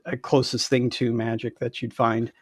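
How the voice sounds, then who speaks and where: A man in his thirties, a guest game designer, explaining haltingly on a video call with many "like"s and "um"s. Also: medium pitch, flat delivery, no room sound, studio quality. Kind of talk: explaining in casual interview. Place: indoor room, on a video call.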